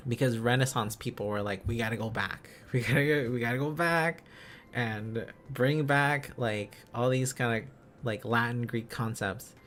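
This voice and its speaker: A man's voice: medium pitch, fairly even intonation, moderate pace, a bit bright. A man hosting a livestream, chatting casually in an enthusiastic, rambling, giggly manner. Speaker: livestream host. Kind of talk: casual livestream chat while learning Korean script.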